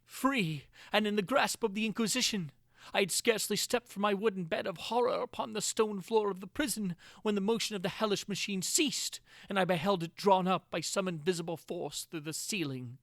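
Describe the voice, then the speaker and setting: Male narrator, fairly high voice, theatrical and intense gothic horror read, very dry sound. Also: fairly quick, no echo. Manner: theatrical, intense delivery with big swings from hushed to crying out. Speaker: narrator reading classic horror tales. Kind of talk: dramatic reading of gothic horror.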